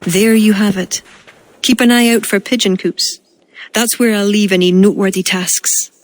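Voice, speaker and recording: A shrewd Irishwoman advising a royal court, played by an actress in a video game. Her Irish-accented voice sits at a medium pitch and is playful and self-possessed. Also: steady tone, normal speed, no echo, lightly acted. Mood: businesslike. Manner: teasing, assured. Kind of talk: video game cinematic acting.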